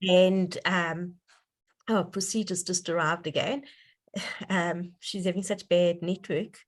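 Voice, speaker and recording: A woman's voice: fairly low pitch, fairly even intonation, slow pace. A woman hosting a donor conference call, talking casually in an effusive, enthusiastic and appreciative way over an online connection.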